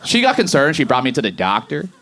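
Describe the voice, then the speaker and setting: A man of thirty doing a stand-up comedy set on a club stage, animated and jumping to high shouts on punchlines. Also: fast talker. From Boston area.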